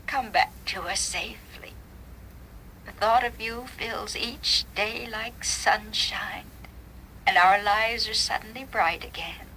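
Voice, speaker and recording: Elderly mother, frail and breathy, unhurried, tender pleading, radio drama with faint noise. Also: medium pitch, pushed and bright. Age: older. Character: frail elderly loving mother longing for her son. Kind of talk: dramatized short story acting. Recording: noisy vintage radio drama recording.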